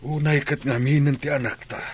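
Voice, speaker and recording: A man's voice: a little high, fairly even intonation, fairly quick, breathy edge. A man with an Ilocano accent playing a troubled, indignant middle-aged father of a grown son in a radio drama. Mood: dismayed.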